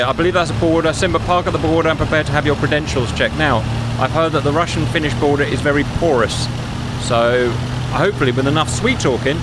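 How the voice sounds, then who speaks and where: A man hosting a gaming session, chatting and joking in a rambling, casual way. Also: medium pitch. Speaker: host of a flight-sim gaming channel. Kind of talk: casual gameplay chat with commentary. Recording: loud game engine noise.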